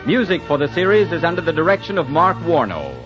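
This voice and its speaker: A dull vintage radio recording with faint music under a male announcer. He reads in a polished, persuasive 1940s style, with animated, wide-moving pitch. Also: fast talker.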